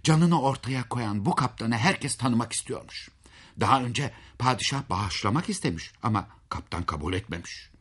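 Male storyteller for folk tales: husky, highly animated pitch, dramatic and folksy, chatting to the listener. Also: normal speed. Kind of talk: expressive oral fairy-tale narration.

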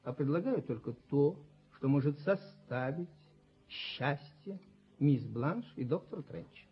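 A man's voice: fairly high pitch, animated, unhurried. An actor playing an ingratiating, scheming self-made businessman who coaxes familiarly, in a radio play. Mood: coaxing. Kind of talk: Soviet radio play acting. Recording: muffled 1970s radio drama recording.

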